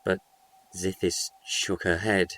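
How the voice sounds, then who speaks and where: A man's voice: deep voice, flat delivery, slow, sharp tone. A man reading scripted narration for a short audio story.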